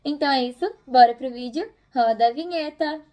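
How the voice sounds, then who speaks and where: A woman's voice: sits high, fairly quick. A young Brazilian woman chatting casually and encouragingly as she gives tips in a video. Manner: informal, encouraging. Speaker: host of a video channel.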